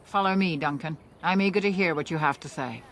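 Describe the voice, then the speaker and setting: A fantasy game's elven matriarch speaks with grave composure and formal authority. Her delivery is measured, and faint outdoor ambience sits behind the voice. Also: sits low, slight background noise. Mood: composed, grave. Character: grave, composed elven female clan elder and leader. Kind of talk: fantasy video game dialogue.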